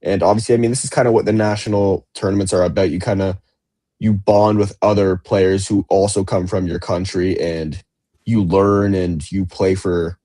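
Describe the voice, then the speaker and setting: A man's voice: sits low, steady tone, moderate pace, dark tone, dry recording, studio quality. A man co-hosting a podcast, talking sports in a loose, rambling way with lots of fillers. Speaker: podcast co-host. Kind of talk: casual sports chat.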